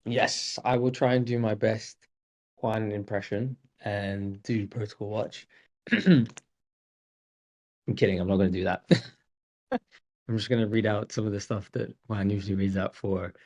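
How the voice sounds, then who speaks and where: A man's voice: on the low side, unhurried, pauses often. A man hosting a community call, talking casually with frequent laughs and restarts. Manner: relaxed, chuckling, hesitant restarts. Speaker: host. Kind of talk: casual chat. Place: video call.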